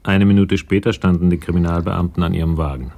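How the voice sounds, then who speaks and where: A man's voice: low-pitched, steady tone, fast, gravelly, dark tone. An actor serving as the sober male narrator of a radio crime drama, reading scripted narration.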